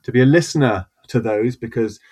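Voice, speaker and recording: Jokey podcast host, stumbling restarts. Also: male voice, medium pitch, brisk, darkish tone. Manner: jokey, hesitant, many restarts. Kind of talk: casual podcast chat.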